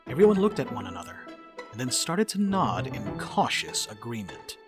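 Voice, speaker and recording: Expressive, androgynous-sounding story narrator. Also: medium pitch, moderate pace, over music. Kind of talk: scripted narration of a dramatic reading.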